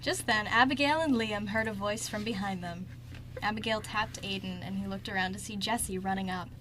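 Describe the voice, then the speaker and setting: A woman's voice: mid-range voice, a little fast, background noise. A woman narrating a scripted story as host of an amateur radio show.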